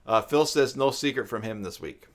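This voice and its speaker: A man's voice: medium pitch, expressive, normal speed. A middle-aged man hosting a casual live stream, rambling and thinking aloud, often repeating himself. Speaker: live stream host, web tutorial maker.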